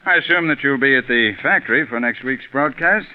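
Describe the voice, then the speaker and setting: An actor playing a courteous, fussy, eager-to-please company executive in a 1940s radio drama. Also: male voice, medium pitch, a little fast, close to an everyday voice. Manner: polite, fussy, throat-clearing. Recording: tinny 1940s radio recording.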